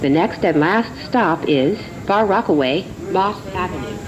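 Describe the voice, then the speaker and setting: A woman giving formal, measured, scripted transit announcements inside a rumbling subway car. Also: animated, highs cut off. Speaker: train announcer. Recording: loud train noise throughout.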